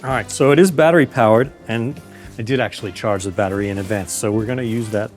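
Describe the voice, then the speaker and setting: A man presenting an upbeat, clearly explained review and setup of a portable loudspeaker. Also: fairly low pitch, fast, soft background music. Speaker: product reviewer. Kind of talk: gear review and unboxing.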